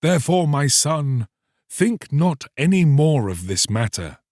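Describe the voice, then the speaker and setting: A man's voice: fairly high pitch, sing-song, measured pace, darkish tone, no echo, clean studio sound, voice pushed up. A man voicing an alarmed, solemn priest in a storybook, exhorting in grave, fatherly, archaic speech. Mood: solemn, admonishing.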